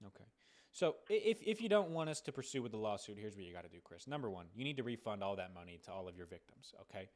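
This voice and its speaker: A man's voice: on the low side, sing-song, fast, darkish tone. An American man playing a stern, bullying adult who officiously threatens a kid with a lawsuit in a prank call. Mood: officious, pressing. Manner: officious, pressing, repetitive.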